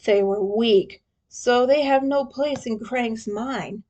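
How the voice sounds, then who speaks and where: A woman's voice: fairly high pitch, slow, warm tone. A woman puts on an armored alien warlord from a story, male and proud. He speaks in stilted, curt phrases. His manner is haughty and hostile. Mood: cold, proud.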